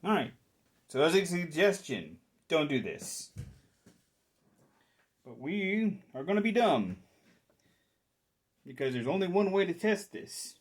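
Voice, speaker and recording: A man's voice: fairly high pitch, sing-song, slow, long pauses, husky. A man giving casual, unscripted commentary as a hobbyist repairer, thinking aloud to himself as he works. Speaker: hobbyist repairer presenting a video.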